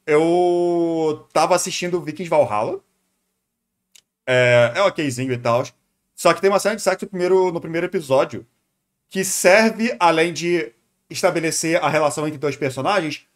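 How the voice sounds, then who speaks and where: A man's voice: high voice, normal speed. A Brazilian man giving casual, opinionated live reaction commentary as a streamer, thinking aloud conversationally.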